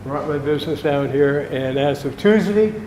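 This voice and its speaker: A man in his seventies introducing himself at a meeting in a relaxed, plain-spoken way. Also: on the high side, moderate pace, background noise. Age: 70s. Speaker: marketing consultant and photographer. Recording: echoey room with long reverb.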